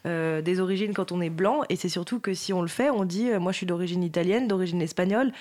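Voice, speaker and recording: A panelist on a TV discussion who talks rapidly and restarts sentences often. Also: mid-range voice, darkish tone.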